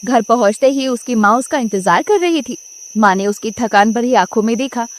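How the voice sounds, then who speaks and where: A woman narrates a cartoon story in a steady storytelling manner. Her voice sits high. There is faint noise behind it. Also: moderate pace. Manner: even, storytelling.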